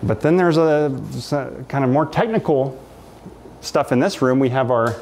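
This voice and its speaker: A man's voice: mid-range voice, moderate pace, a little mellow, slight background noise. A man in his thirties giving a casual, enthusiastic walking tour of a large workshop, gesturing as he talks with many fillers. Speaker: makerspace director giving a shop tour. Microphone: camera mic, medium distance.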